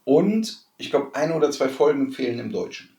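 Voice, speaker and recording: A man's voice: medium pitch, lively intonation, moderate pace, dark tone. A man, chatting casually as a podcast co-host. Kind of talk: casual chat. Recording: echoey room, distant from mic.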